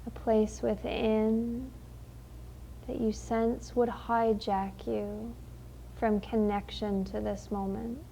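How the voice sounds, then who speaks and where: A woman leading a guided meditation in a gentle, unhurried, soothing manner with long pauses. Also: a little high, flat delivery, gravelly, slight background noise.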